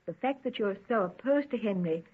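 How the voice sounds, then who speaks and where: An actress playing a worried, devoted young daughter with an emotional, pleading manner in an old-time radio drama. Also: female voice, mid-range voice, expressive, fairly quick, breathy edge. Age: young adult. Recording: muffled, tinny old radio recording.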